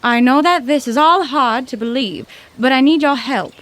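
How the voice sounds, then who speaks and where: An actress playing a plucky, impatient young woman adventurer in a 1930s radio mystery, brisk and bossy. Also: sits high, reverberant, natural voice. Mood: grave reading. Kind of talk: 1930s radio drama acting.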